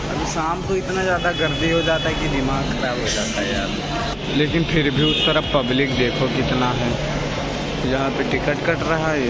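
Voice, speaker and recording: A young man chats freely to his viewers as he walks the streets at dawn, holding his phone out at arm's length. His voice sits a little on the high side and is friendly and talkative. Music plays clearly underneath his words. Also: low-quality recording. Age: young adult. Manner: chatty, addresses viewers as bhai and yaar. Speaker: vlogger. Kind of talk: casual vlog narration. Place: outdoors on city streets and at a railway station at dawn. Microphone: phone held at arm's length.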